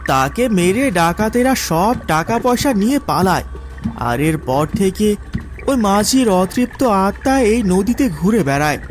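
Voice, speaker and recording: A man acts a loyal young villager in a cartoon ghost story. The voice is very high for a male and very animated, with an assured, knowing manner. Faint music plays behind it. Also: a little slow. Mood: grave, explaining. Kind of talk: animated horror story character acting.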